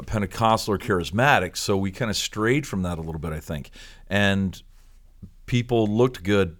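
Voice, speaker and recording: A man hosting a talk podcast with casual, jokey, enthusiastic banter. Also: sits low. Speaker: podcast host.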